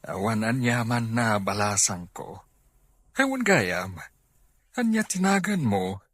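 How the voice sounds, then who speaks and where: A man's voice: lowish voice, sing-song, slow pace, fairly bright tone, dry recording, heavily acted. A man with an Ilocano accent acting a kind, well-off farmhouse owner in a radio drama, earnest and caring.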